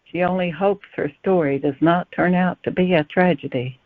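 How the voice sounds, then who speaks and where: Female guest author, from Kentucky, measured, prepared storytelling answers, narrow phone-like audio, dry. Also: fairly low pitch, dry recording. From Kentucky, USA. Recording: thin phone-like sound.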